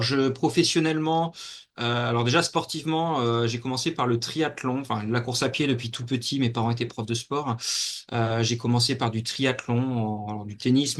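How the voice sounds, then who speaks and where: Flat-toned man in his forties. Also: medium pitch. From Normandy, France. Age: 40s. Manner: steady, detailed account with frequent fillers. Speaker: guest, athlete and mental coach. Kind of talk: casual interview talk.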